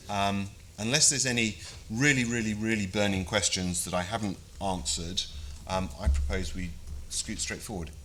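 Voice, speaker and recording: A man's voice: on the low side, fairly bright tone, a little hiss or hum. A British man presenting a funding briefing to a live audience, chatty and self-deprecating with frequent fillers.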